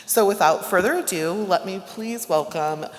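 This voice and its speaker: A woman host introducing a guest speaker in a measured, formal way, then asking follow-up questions. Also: medium pitch. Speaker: event host. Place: library event hall. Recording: reverberant hall.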